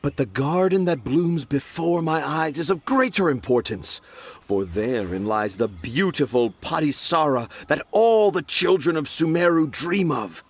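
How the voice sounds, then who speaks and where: Flamboyant, high-voiced pompous storyteller. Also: male voice, animated, a little slow, slightly rough. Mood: boastful, grand. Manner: flowery, theatrical, pompous. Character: dramatic, self-important male children's storyteller with lofty dreams. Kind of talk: video game voice acting.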